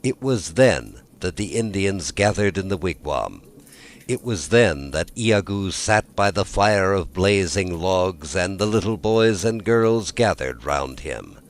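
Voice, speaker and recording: A man narrating a scripted fairy tale as a storyteller, measured and with dramatic emphasis. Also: deep voice, animated.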